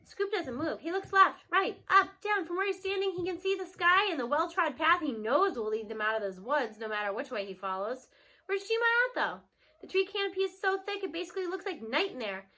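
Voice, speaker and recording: A woman narrating a children's story aloud in an expressive read-aloud style. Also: very high-pitched, a little fast.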